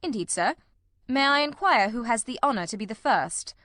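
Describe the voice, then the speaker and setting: Woman doing a clever dry detective man for an audiobook, sure of himself, brisk n precise, no room echo. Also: on the high side, lively intonation, fairly bright tone. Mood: tart, impatient. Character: shrewd, self-assured, dryly witty male detective. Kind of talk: audiobook character voice.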